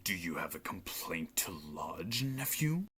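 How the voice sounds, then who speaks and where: A man's voice: deep voice, very animated, measured pace, fairly bright tone, husky, heavily acted. A teenage actor playing a treacherous middle-aged king in a school radio play, smug, mocking and theatrical. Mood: menacing. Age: teens. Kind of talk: amateur radio play acting.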